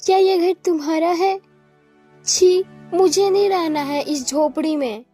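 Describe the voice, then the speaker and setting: Cartoon fairy-tale princess, played by a female narrator: proud, young, complaining, high and bright, music underneath. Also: flat delivery, a little slow. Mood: disgusted.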